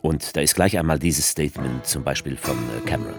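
A man's voice: a bass voice, a little fast, music in the background. An actor playing a wry, probing male interviewer in a radio play. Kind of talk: radio drama acting.

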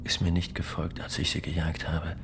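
An actor playing a grim, proud farmer husband in a radio play, speaking in a hushed, gravelly voice, brooding and insistently justifying himself. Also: male voice, low voice, completely flat delivery, moderate pace, background noise. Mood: feverish certainty.

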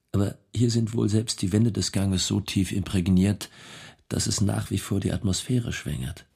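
Cultured reflective gentleman, radio drama, deep-set raspy voice, creaky, formal musing delivery. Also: fairly even intonation, slow pace, pauses often, full character voice. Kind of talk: literary radio drama acting.

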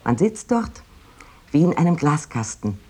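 An actress playing a proud, delighted 19th-century woman writer, reading out her letter in precise, expressive, old-fashioned literary diction. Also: deep voice, unhurried, slightly rough, heavily acted. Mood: delighted, detailed.

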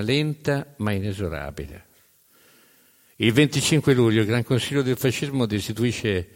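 A man tells a scripted historical story as a stage narrator. His voice sits fairly low, and he phrases deliberately and dramatically, setting words apart with pauses. A long hall reverb surrounds every phrase.

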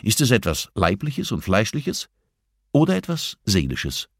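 Guy as a cool superior professor in an audiobook, deliberate, needling, voice lifted above his usual. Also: on the low side, wildly swooping pitch, breathy edge, no room sound, studio quality, pitched above the performer's own voice, exaggerated voice. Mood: calm probing. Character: gaunt, bald male professor, calm, superior, probing.

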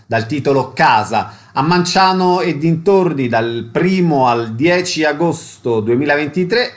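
A man hosting a radio show, putting questions to guests and announcing cultural programmes. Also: mid-range voice.

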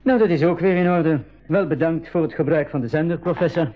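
Casting: man to play patient, friendly jungle expedition leader for radio drama, lightish pitch, slightly dull recording. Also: brisk, lightly acted. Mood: practical. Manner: explanatory, patient. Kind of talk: 1980s school radio drama acting.

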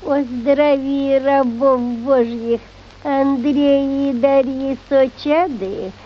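A woman's voice: high-pitched, steady tone, highs cut off. An actress playing a pious, stubborn old village peasant woman with a rural Russian dialect and a folksy, muddled manner in a radio play. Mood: earnest dictating. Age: older. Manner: folksy, drawn-out dictating, muddled. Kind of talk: radio play acting of a classic short story.

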